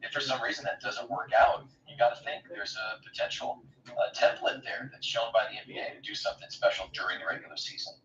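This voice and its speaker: A man with a slightly raspy voice giving relaxed hockey color commentary from his couch, hesitating often. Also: on the low side, quick pace. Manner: hesitant, many uh fillers, laid-back. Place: home, on a couch. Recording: distant lo-fi roomy mic with faint hiss.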